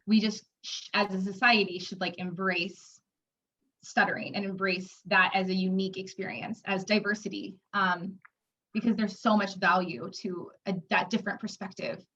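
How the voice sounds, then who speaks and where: A woman's voice: medium pitch, flat delivery, normal speed, a bit bright, highs cut off. Stuttering woman in her twenties. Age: 20s. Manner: stutters with sound repetitions and blocks, many fillers. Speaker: interviewee. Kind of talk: personal testimony.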